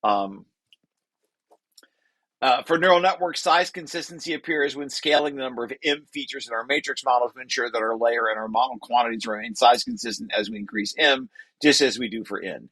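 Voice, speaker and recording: A man reading aloud from a technical paper and explaining it informally, halting and correcting himself as he repeats words. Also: mid-range voice, fairly bright tone, no echo. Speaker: presenter and paper author.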